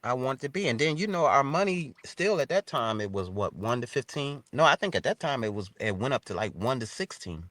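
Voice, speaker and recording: A man's voice: mid-range voice, very animated, a little fast, hardly any pauses, fairly bright tone, no room sound. A man in his fifties with an American accent, telling his story casually as an interview guest in informal, colloquial phrasing. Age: 50s.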